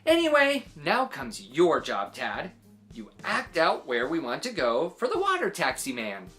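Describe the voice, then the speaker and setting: Eccentric aunt, acted by a woman, excitable tall-tale teller for a children's story, very animated, emphatic. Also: sits low, faint music behind, heavily acted. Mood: excited, dramatic. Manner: dramatic, emphatic, drawn-out delivery.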